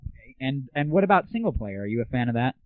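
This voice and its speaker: A man's voice: mid-range voice, sing-song, fast talker, dry recording. A young man hosting a casual gaming audio show, chatting and asking questions as an interviewer. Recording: tinny, phone-like, band-limited 1990s internet audio.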